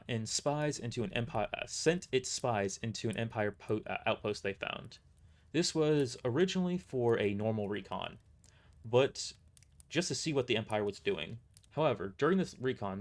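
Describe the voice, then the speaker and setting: A tired, serious mercenary commander in an audio drama, played by a man, with a medium-pitched voice. He explains things in a measured, matter-of-fact way, weighed down and blunt. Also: animated, close to an everyday voice. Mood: grave, explanatory. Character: weary, grave male mercenary boss giving briefings.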